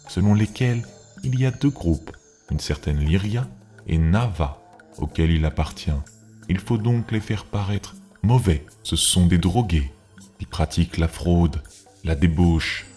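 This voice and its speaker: Guy doing a scheming cartoon agent boss, deep mellow voice, calculating and measured, bit of music under it. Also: close to an everyday voice. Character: cold, scheming male secret service official. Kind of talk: cartoon voice acting.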